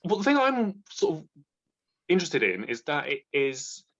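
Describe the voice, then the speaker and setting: A man's voice: a little high, normal speed, lo-fi sound. A man co-hosting a casual book chat, rambling and joking as he goes. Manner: jokey, rambling, animated. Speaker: booktuber co-host.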